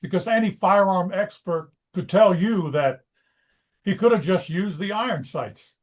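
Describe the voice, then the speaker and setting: Man playing a high-voiced, casual onlooker. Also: measured pace. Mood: questioning. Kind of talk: screenplay table read.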